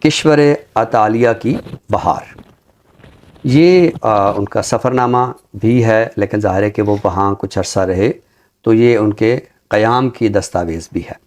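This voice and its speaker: Low-pitched Pakistani man, deliberate literary speaker. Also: a little mellow. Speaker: literary show presenter and book reviewer. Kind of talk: measured literary talk with poetry recitation.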